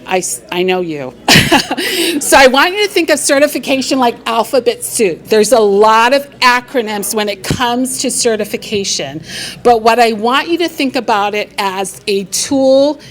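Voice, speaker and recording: A middle-aged woman from Hawaii speaks from the stage at a live business event, her voice sitting a little high. She is upbeat and keeps the crowd involved with questions. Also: expressive, moderate pace. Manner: upbeat, engaging the audience with questions. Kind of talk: live presentation to an audience.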